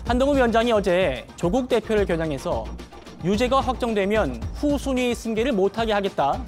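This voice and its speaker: A male anchor presents a televised political talk show from a studio. His voice sits high for a man, and his delivery is formal and measured. Faint music plays softly underneath. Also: very animated. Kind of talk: broadcast anchoring, scripted news talk.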